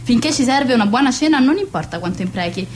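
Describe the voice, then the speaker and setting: Radio play: woman as gushing, bossy society hostess, high voice, some background noise. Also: animated, fast, lightly acted. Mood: fretful. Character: fussy, social-climbing society hostess and mother.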